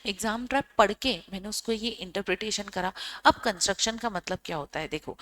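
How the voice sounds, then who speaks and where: A woman's voice: medium pitch, a bit bright, low-quality recording. A young woman from India teaching a casual exam revision lesson in mixed Hindi and English, with an Indian English accent and a chatty, coaxing way of checking in with students.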